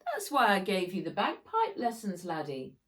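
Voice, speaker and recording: A woman's voice: medium pitch, wildly swooping pitch, normal speed, fairly bright tone. A woman voicing a kindly old Scottish grandfather in a children's storybook, affectionate and coaxing. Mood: encouraging.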